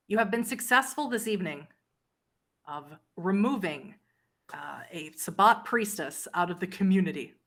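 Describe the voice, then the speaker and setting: A woman runs a tabletop roleplay game as its storyteller. Her voice sits at a middle pitch, and she narrates dramatically, speaking straight to the players in second person. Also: a bit bright.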